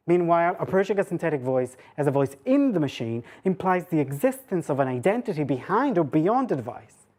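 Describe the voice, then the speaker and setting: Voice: mid-range voice, a little mellow. A woman lecturer giving an academic public talk, mixing scholarly phrasing with informal asides.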